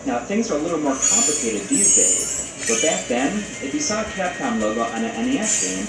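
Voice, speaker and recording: A man's voice: on the high side, big pitch swings, brisk. A man hosting a video game review show, narrating a scripted essay in casual, conversational phrasing. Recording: roomy, distant, loud music over voice. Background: music.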